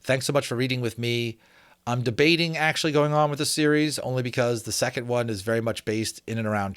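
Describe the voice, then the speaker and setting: A man's voice: fairly low pitch, a bit bright, no echo. A man hosting a book read-aloud, shifting between theatrical, formal reading and informal asides. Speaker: host reading books aloud.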